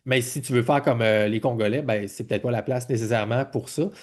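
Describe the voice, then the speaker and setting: A man's voice: medium pitch, a little fast, darkish tone. Podcast host, male, forties, Quebec French accent. Mid-range voice, informal and rambling, reworks questions mid-sentence. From Quebec, Canada. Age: 40s. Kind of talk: casual interview.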